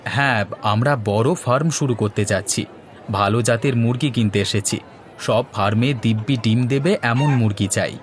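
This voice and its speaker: A man's voice: mid-range voice, moderate pace, a little hiss or hum, lightly acted. A man as a confident, scheming cartoon villager. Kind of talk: comic Bengali cartoon dialogue. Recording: music behind the voice.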